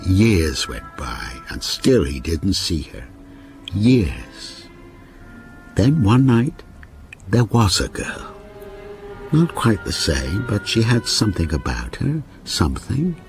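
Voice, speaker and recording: An actor playing a lonely, obsessive older man in a radio ghost story, with a brooding, deliberate delivery that repeats broken phrases. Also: deep voice, huge pitch swings, a little mellow, soft background music, exaggerated voice. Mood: brooding, wistful. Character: lonely, obsessive, sinister old railwayman ghost narrating his story. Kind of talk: radio drama monologue.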